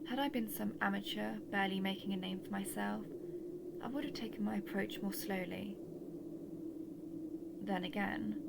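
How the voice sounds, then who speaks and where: Woman playing a breathy, lovesick sorceress over loud ambience. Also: medium pitch, close to an everyday voice. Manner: soft, deliberate, wistfully possessive. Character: lovesick former-villain sorceress, manipulative, faintly remorseful. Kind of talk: intimate scripted audio roleplay monologue. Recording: noisy, with loud ambient sound behind.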